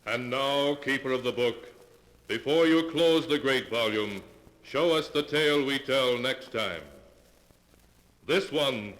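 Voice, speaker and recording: A man narrating a 1940s radio show in a measured, portentous manner. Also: mid-range voice, a bit bright, poor audio. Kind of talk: scripted narration. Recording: old radio broadcast with faint hiss.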